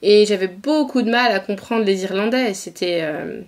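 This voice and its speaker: A woman's voice: mid-range voice, moderate pace, a little mellow. A woman from northeast France hosting a podcast interview, chatting in an easygoing way and often murmuring agreement. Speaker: podcast host. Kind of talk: casual conversational interview.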